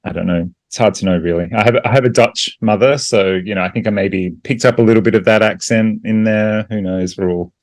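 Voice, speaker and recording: A man with a mild Australian accent talks as a guest, reflective and hesitant, circling his thoughts with fillers. His voice is very low, and the recording is dry and close. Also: steady tone, brisk, few pauses, no echo. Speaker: guest musician. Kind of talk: casual interview chat.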